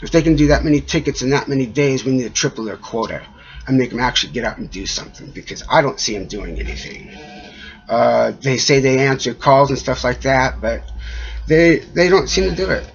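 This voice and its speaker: A man speaking in a halting, aggrieved way, rambling through a personal complaint with a husky voice. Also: medium pitch. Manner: halting, frequent trailing pauses, aggrieved. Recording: dull lo-fi audio.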